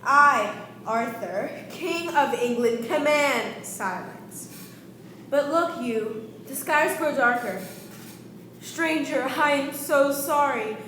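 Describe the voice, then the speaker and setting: A woman's voice: high-pitched, lively intonation, slow, a bit bright, heavily acted. A young woman playing an anxious yet imperious king in an amateur radio play, with formal, declamatory delivery. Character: anxious, imperious King Arthur of England, pleading yet regal. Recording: echoey room with background noise.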